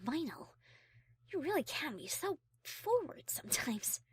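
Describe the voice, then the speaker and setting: A woman playing a haughty, high-class female pony who is prim, formal and easily flustered, in an audio drama. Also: sing-song, unhurried. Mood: prim, exasperated. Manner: prim, formal, refined diction. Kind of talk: fan audio drama character acting.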